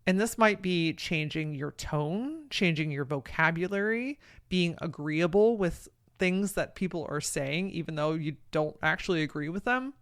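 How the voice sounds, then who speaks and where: A woman hosting a podcast, talking frankly and encouragingly in a casual way with a deep voice. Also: lively intonation, normal speed, few pauses. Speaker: podcast host. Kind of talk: solo podcast talk, motivational.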